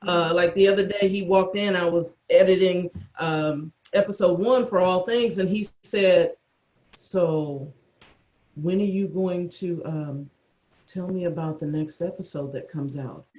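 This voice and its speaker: A woman chatting casually on a video call, telling anecdotes in an earnest, halting way. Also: lowish voice, darkish tone, highs cut off. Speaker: audio editor and cast crew member. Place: indoor room on a video call. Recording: echoey room over video call.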